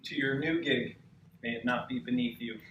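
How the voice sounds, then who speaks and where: A man playing a slick, arrogant forty-ish boyfriend in a live table read, smooth and condescending. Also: medium pitch, level tone, normal speed, a bit bright, highs cut off, lightly acted. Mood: wry, probing. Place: cramped bookstore event, live. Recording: echoey live bookshop, clearly noisy.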